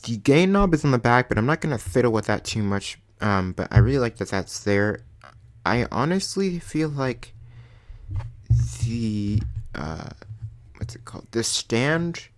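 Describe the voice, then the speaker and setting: A young man with a deep baritone voice, casually reviewing a microphone with plenty of ums and likes. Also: very animated, unhurried. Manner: rambling, lots of ums and likes. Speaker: channel host reviewing a microphone. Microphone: USB condenser desk mic.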